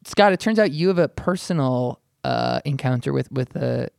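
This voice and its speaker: A man's voice: medium pitch, lively intonation, moderate pace, creaky, darkish tone, smooth, dry recording, clean studio sound. A man on a podcast explaining things in a casual, conversational way, often qualifying what he says. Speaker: writer on a podcast.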